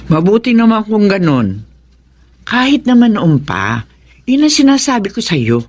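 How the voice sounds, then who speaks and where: A woman's voice: mid-range voice, big pitch swings, unhurried, darkish tone. An actress playing a sensible, moral mother of grown daughters in a Filipino radio drama, giving reasoned advice. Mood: advising. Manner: reasoning, advising.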